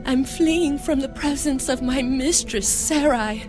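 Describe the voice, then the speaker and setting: A woman's voice: high voice, unhurried, over music. A woman playing a humble runaway maidservant in a dramatized scripture, meek and hesitant. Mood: fearful.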